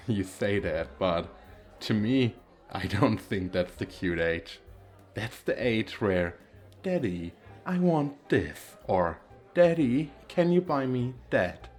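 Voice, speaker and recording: A man playing a gentle, awkward single dad who flirts shyly and hesitantly in an ASMR roleplay. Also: lowish voice, lively intonation, slow, soft background music. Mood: shy, amused. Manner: hesitant, self-deprecating, soft chuckles.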